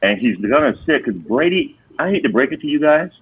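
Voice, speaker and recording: A man's voice: mid-range voice, big pitch swings, a little fast, slightly rough, narrow, tinny audio. A man debating football casually as a panelist on a sports show.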